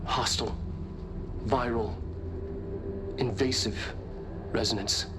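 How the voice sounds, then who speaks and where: An actor playing an urgent, dramatic scientist delivering a deliberate warning briefing in a video game. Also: male voice, mid-range voice, steady tone, background noise, close to an everyday voice. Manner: emphatic, deliberate lecturing.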